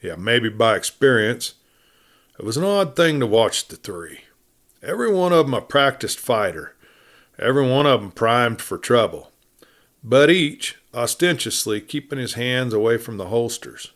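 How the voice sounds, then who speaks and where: A man reading a western tale as a dramatic storyteller, deliberate and dropping to a hush at phrase ends. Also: medium pitch, very animated. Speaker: storyteller, audiobook reader.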